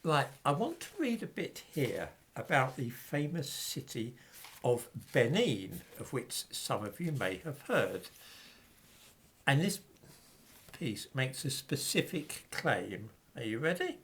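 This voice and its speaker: Middle-aged man, husky voice, lively up-and-down pitch, reads quotes then scoffs at them. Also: slow pace. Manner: dismissive, sarcastic asides while reading quotes. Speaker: commentator on history books. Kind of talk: reading aloud and commenting to camera.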